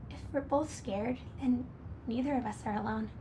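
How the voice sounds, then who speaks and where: A young woman playing a frightened teenage girl in an audio drama, stammering and halting. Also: close to an everyday voice. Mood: tender. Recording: faint noise with low rumble.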